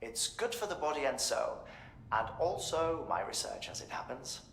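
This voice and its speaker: A man's voice: a little high, very animated, normal speed, gravelly, fairly bright tone, echoey room, slight background noise, exaggerated voice, low-quality recording. An actor playing a formal, courteous, quirky male researcher with genteel, wordy phrasing in a video game cutscene. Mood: politely wry.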